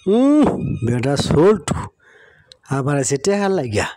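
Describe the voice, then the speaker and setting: A man's voice: on the high side, wildly swooping pitch, a little slow, mellow, no echo. A man playing a stingy, dismissive village man in a cartoon moral story, brusque and grumbling, with a rural Bangladeshi Bengali accent. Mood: scornful.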